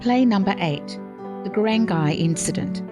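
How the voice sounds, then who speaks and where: Measured woman narrator over music. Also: medium pitch, lively intonation. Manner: measured, formal storytelling. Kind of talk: scripted narration. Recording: music playing behind.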